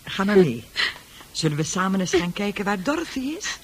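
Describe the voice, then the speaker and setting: An actress playing a brisk, firm female nurse coaxing patients in a radio drama. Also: medium pitch, big pitch swings, breathy edge.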